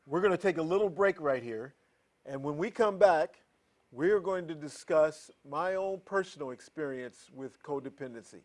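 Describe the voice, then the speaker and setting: A man's voice: fairly high pitch, animated, normal speed. A man hosting a talk show, speaking earnestly and appealing directly to viewers. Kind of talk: hosted talk segment.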